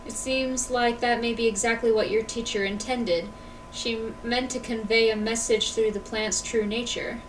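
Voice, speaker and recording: A woman with a fairly high voice reads game dialogue aloud as a streamer. She sits slightly off the microphone, with faint noise behind her. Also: fairly even intonation, normal speed, far from the mic, low-quality recording.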